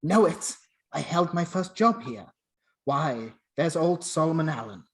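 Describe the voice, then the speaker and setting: A man's voice: fairly high pitch, lively intonation, a little slow. A man playing a stubborn, haunted old miser in a radio play, theatrical and exclaiming. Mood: fond, nostalgic. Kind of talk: amateur radio play acting.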